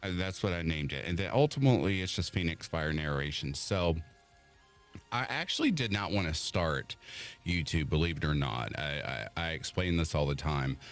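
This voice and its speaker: A man with a voice he calls made for radio, a podcast guest telling his story in an animated way and acting out what others said. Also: extremely low pitch, moderate pace, faint music behind. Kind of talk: casual chat on a podcast.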